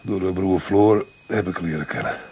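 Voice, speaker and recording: Very husky, low, groggy injured Dutchman. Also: moderate pace, darkish tone, full character voice. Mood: subdued. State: groggy, in pain. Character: weary, injured male hospital patient under police guard. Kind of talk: radio drama acting.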